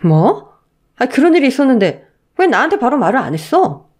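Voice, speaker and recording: A woman's voice: high voice, sing-song, a little fast, darkish tone, pitched above the performer's own voice. A woman voicing a protective, indignant husband in a melodramatic audio story, blunt and earnest. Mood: outraged.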